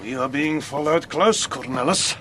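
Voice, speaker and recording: An actor playing a simple, loyal old hunter in a radio drama, talking plainly and earnestly. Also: male voice, on the high side, moderate pace, natural voice. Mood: wary. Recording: radio drama with noise behind.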